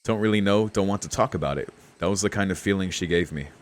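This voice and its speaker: A man's voice: low-pitched, fast talker. A young man giving casual game commentary as a streamer, musing in a laid-back, slangy way and reading text aloud. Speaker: streamer playing a visual novel.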